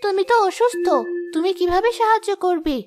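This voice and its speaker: A poor but kind village girl played by a woman talks in a very high, childlike voice. She is gentle and earnest, in the manner of a cartoon moral tale, over clearly audible music. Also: a little fast. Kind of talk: moral-story cartoon dub.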